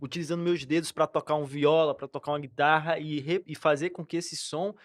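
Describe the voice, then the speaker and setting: A man's voice: on the high side, steady tone, a little fast, no echo. A young Brazilian man co-hosting a music podcast, laid-back and slangy as he talks about songwriting. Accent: Brazilian Portuguese. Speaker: podcast co-host, singer and lyricist. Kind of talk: casual podcast chat.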